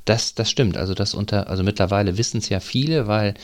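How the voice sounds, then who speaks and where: A man's voice: on the low side, fast. A man from North Rhine-Westphalia hosting an interview, talking casually and hesitantly, with lots of fillers and restarts. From North Rhine-Westphalia, Germany. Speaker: host.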